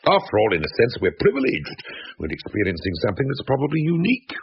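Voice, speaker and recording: An actor playing a sardonic, defeatist British husband in a radio thriller, with a dry, wordy, ironic manner. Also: male voice, medium pitch, wildly swooping pitch, fast talker, creaky. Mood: wry, resigned. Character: sardonic, defeatist husband trapped in haunted cottage. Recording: dull noisy radio drama recording.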